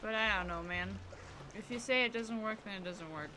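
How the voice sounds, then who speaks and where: A woman's voice: medium pitch, moderate pace, background noise. A woman chatting casually on a live stream while she plays a game, musing and often trailing off mid-thought. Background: game music and sound effects.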